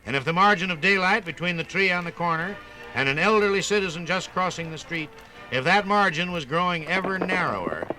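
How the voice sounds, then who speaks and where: A man narrating a scripted 1950s radio program in a theatrical, folksy storytelling manner with drawn-out emphasis. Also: high-pitched, expressive, music in the background, rough recording.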